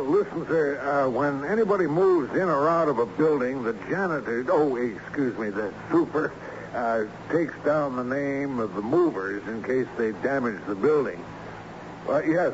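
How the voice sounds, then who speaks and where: An American actor playing a brisk, scheming lawyer who talks commandingly and slangily in a radio drama. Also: male voice, medium pitch, natural voice. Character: brisk, scheming male lawyer leading a search. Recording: muffled, noisy old radio drama recording.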